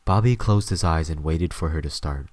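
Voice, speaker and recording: A man's voice: very deep voice, moderate pace, a little mellow, no room sound. A man reading scripted story narration as the narrator of an audio drama.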